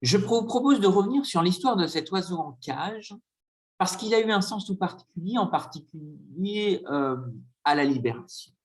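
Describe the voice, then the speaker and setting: A man giving a conference lecture with slides, speaking hesitantly with frequent filler pauses. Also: high-pitched, animated.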